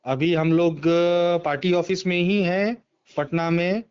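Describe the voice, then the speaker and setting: High-pitched man on a dull phone call. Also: steady tone, slow pace, a little mellow, smooth. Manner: emphatic, repeating words of agreement. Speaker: political party member and former election candidate, interviewee. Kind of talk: phone interview, political commentary. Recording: dull, band-limited phone call recording.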